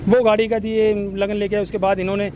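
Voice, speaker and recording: A man's voice: high-pitched, level tone, fast, background noise. A man giving an earnest, explanatory account in a news interview. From Haryana, India. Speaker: villager interviewed by local news. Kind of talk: on-camera news interview testimony.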